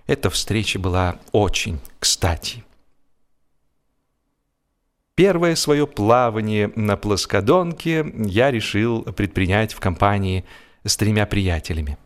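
A man reads a humorous story as an audiobook narrator, in an expressive, animated storytelling manner. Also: on the low side.

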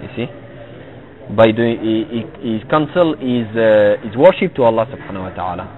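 A middle-aged man teaching a religious lesson to a live audience, halting and repeating himself and often checking with "you see?". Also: fairly low pitch, expressive. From Tunisia. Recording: phone-like lo-fi recording with crowd noise. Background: listeners gathered in the room.

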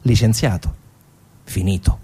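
A man delivering dramatic theatrical narration as a stage storyteller, deliberate, with emphatic pauses. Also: lowish voice, mellow, slightly rough.

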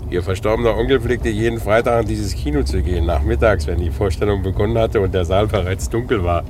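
An actor playing a smooth, worldly middle-aged businessman, condescending and suave, in a 1950s radio drama. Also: on the low side, fast, lightly acted. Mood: sly, gossiping. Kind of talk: 1950s German radio play acting. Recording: noisy 1950s radio play recording.

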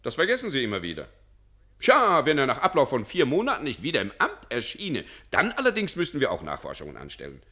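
Bright, lively, condescending office director. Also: male voice, mid-range voice, fast, full character voice. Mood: mocking, officious. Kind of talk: radio play acting.

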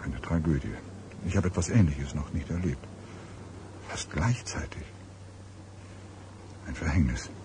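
A grave police detective with a low voice. He muses haltingly, troubled and puzzled, in the manner of a radio play. Outdoor ambience is clearly audible behind him. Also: noisy background. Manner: halting, grave, musing pauses. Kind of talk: German radio drama acting.

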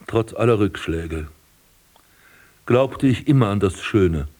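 An actor playing a vain, scornful prince and landscape gardener in a radio drama, speaking in pompous, ornate declamation. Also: male voice, fairly low pitch, lively intonation, slow pace, a little mellow. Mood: brooding storytelling. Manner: pompous, declamatory, ornate old-fashioned diction. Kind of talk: literary radio drama acting, monologue and dialogue.

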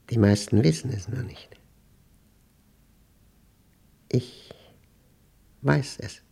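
An actor playing a paranoid, obsessive man recording a spoken diary in a radio play, in clipped, intense fragments with a gravelly, husky voice. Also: on the low side, a little mellow, heavily acted. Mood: ominous, grim. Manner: clipped, fragmented, intense delivery with emphatic outbursts.

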